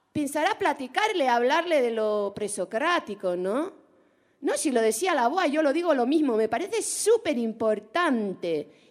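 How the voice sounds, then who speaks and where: A woman in her forties playing a nosy, chatty Argentine woman with a put-on Rioplatense accent, teasing and drawing out her vowels. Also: sits high. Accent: Rioplatense Spanish put on. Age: 40s. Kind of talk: comic monologue impression.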